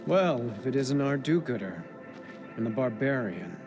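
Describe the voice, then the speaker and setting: Haughty, suspicious gatekeeper. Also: male voice, medium pitch, big pitch swings, normal speed, music in the background. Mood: grudging, suspicious. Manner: haughty, sneering, stern. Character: suspicious, officious male guard, contemptuous of outsiders. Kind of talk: video game voice acting.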